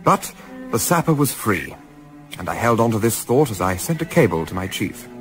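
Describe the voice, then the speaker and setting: Casting: male actor as astute Edwardian gentleman spy, formal and composed, lively intonation, radio drama with music beneath. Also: fairly low pitch, moderate pace, low-quality recording. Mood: sombre, reflective. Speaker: narrator and lead character. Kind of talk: radio play acting with first-person literary narration.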